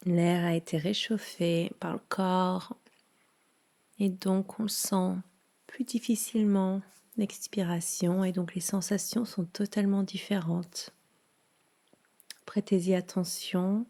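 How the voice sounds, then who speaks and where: A woman leading a guided yoga nidra relaxation in a soothing, hushed manner with long pauses. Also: fairly low pitch, little pitch movement.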